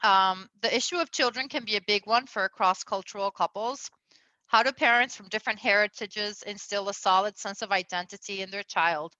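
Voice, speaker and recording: Bright chatty woman coaching on relationships. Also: mid-range voice, moderate pace, no echo, highs cut off. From Venezuela. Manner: chatty, lots of fillers, laughs often. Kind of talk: casual advice talk.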